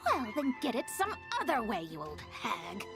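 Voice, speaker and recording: An actress playing a proud, testy young woman astrologer in anime-style video game dialogue, brisk and haughty. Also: on the high side, huge pitch swings, vocal fry, over music, full character voice. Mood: indignant.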